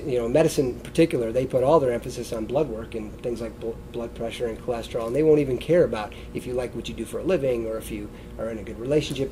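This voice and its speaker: A man's voice: medium pitch, warm tone, a little hiss or hum, rough recording. A middle-aged American man with a congested, sniffly voice gives an informal wellness lecture in a loose, conversational way. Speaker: chiropractor giving a wellness talk. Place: indoor stage beside a wooden lectern, seated.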